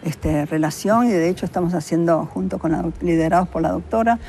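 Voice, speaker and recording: Female interview guest, Uruguayan, health official. Fairly low voice, talks informally and explanatorily over room noise. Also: brisk, dark tone. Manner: conversational, illustrates points with imagined quotes. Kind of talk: spontaneous explanatory interview talk.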